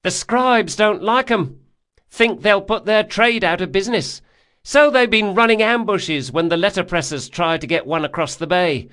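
A man's voice: high-pitched, moderate pace, fairly bright tone, pitched above the performer's own voice. A man voicing a blunt, chatty working boatman in an audiobook, colloquial and opinionated. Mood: grumbling, gossipy. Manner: colloquial, dropped words, exclamatory.

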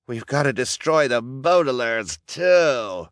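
A high, affected storybook villainess, put on by a male narrator. She gushes haughtily with gloating relish, pitched well up and swooping in a dry studio sound. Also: animated, slow pace, dry recording. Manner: affected, cackling, gushing. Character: villain's haughty, gushing glamorous girlfriend. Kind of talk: audiobook character acting.

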